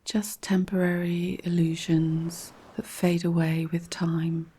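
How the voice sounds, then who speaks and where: A woman reading a scripted guided relaxation in a calm, measured, instructional way, with a soft, breathy voice. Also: fairly low pitch, a little hiss or hum. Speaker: guided meditation narrator.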